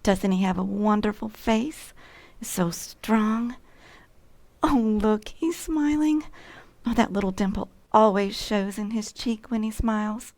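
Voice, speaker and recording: A woman's voice: medium pitch, expressive, takes it slowly, a little mellow. Lovestruck spinster sales clerk played by a woman, coy, gushing and flirtatious, vintage-style radio sitcom. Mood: dreamy, admiring. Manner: gushing, flirtatious, sometimes breathy. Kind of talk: old-time radio sitcom acting.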